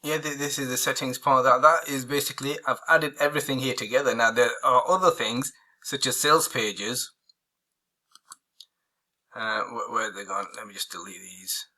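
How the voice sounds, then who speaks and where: A man walking viewers through a software tutorial, hoarse and losing his voice, rambling with many fillers and restarts. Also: medium pitch, fairly even intonation, normal speed. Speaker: online marketing tutor. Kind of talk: casual screen-recorded tutorial.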